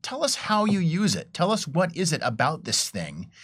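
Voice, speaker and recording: A man's voice: on the high side, wildly swooping pitch, normal speed, a bit bright, husky. A man on a casual panel, talking informally and enthusiastically as a game designer.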